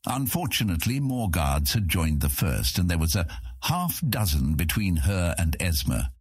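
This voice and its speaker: Very deep, husky male audiobook narrator. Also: very animated, moderate pace, no echo, clean studio sound. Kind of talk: scripted fantasy narration.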